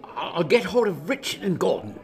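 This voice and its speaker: A man's voice: high-pitched, very animated, moderate pace, creaky, full character voice. A man playing a blunt, exasperated, weary Prime Minister in a radio drama. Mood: wry, concerned. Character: exasperated, sharp-tongued British Prime Minister under pressure.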